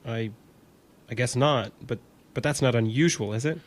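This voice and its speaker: A man's voice: medium pitch, normal speed, lightly acted. A man acting in an audio drama as an anxious, defensive artificial intelligence, hesitant and stammering, evasive and argumentative. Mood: confused, hesitant.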